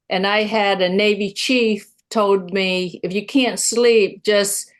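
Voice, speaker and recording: A woman with a mid-range voice moderates a seminar. She asks her questions casually, stumbling a little as she starts. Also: medium pitch. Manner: conversational, hesitant starts.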